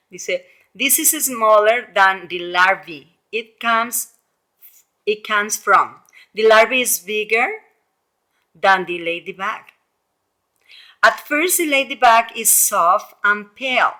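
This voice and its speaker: Slow-paced woman teacher reading to children. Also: fairly high pitch. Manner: animated storytelling with exclamations and frequent questions to listeners; simple explanations with frequent repetition. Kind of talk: read-aloud lesson for young children.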